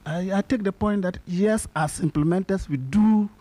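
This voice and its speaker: Higher-pitched Ghanaian man, emphatic. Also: normal speed, warm tone. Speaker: conference participant. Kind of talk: spoken comment at a panel discussion. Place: indoor conference meeting room. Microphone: handheld microphone held close.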